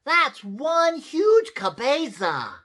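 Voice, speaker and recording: A woman voicing a hyper, boastful little kitten boy in a storybook, with theatrical, drawn-out exclamations. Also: high voice, very animated, a bit bright, voice pushed up, exaggerated voice. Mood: amazed.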